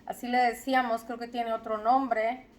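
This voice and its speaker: A young adult woman from Zacatecas with a Mexican accent, slowly telling her personal story in a reflective, deliberate way. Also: fairly high pitch, level tone. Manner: slow, deliberate, reflective with repetitions.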